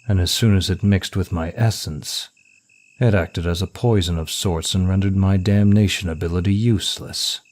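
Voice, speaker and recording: A man playing a suave, smug male demon of lust in an ASMR roleplay, with a teasing, deliberate, seductive delivery. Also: low-pitched, steady tone, a little mellow, lightly acted. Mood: intrigued, coolly impressed.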